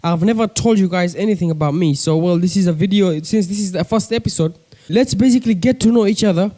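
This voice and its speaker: Casting note: young man, coastal Kenyan accent, laid-back vlogger chat, higher pitched, soft mellow tone, homemade bedroom recording. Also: fast. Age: young adult. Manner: chatty, keeps addressing viewers as guys. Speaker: pigeon-keeping vlogger. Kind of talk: casual chat to camera. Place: bedroom with a desk.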